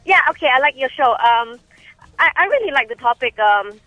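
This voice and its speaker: A woman's voice: sits high, expressive, fairly quick, dry recording, narrow, tinny audio, faint music behind. A woman from Singapore calling in to a radio show, talking hesitantly with many fillers and restarts. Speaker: caller. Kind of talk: phone-in call.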